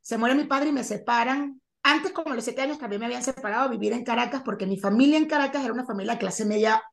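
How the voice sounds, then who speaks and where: Venezuelan woman, 40s, bright higher voice, lively guest recounting her past over a video call. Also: fast talker, vocal fry. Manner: animated storyteller, acts out quoted lines. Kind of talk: casual interview chat.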